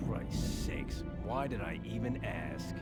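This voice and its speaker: A man's voice: lowish voice, lively intonation, takes it slowly, over loud music. A man playing a blunt, irascible general in a war game cutscene. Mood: exasperated, angry. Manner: blunt, exasperated. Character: gruff, irascible American general. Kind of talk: video game cutscene acting.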